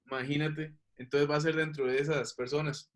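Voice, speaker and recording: A man's voice: a little high, flat delivery, brisk. A man teaching a class, explaining patiently and checking that the students follow. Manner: patient, checks understanding with questions.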